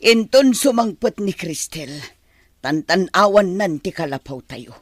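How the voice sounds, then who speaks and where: An actor with a Filipino accent playing an envious, complaining poor villager in a radio drama. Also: male voice, high-pitched, expressive, unhurried, fairly bright tone, dry recording, clean studio sound, full character voice. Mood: grumbling, envious. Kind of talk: Ilocano radio drama acting.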